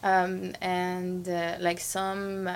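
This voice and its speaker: A woman's voice: lowish voice, monotone, extremely slow, slight background noise. A young woman from Guatemala whose first language is Spanish, answering interview questions casually, with lots of fillers, restarts and laughs. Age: young adult. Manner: hesitant, many fillers and restarts, frequent laughs. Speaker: interview guest, international student.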